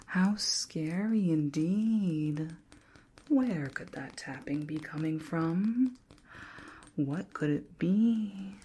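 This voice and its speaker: A woman playing a shy, hapless young female ghost in an ASMR roleplay, speaking softly and often whispering, with theatrically spooky teasing. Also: lowish voice, very slow, full character voice. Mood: playfully spooky. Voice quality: soft, breathy, often whispered.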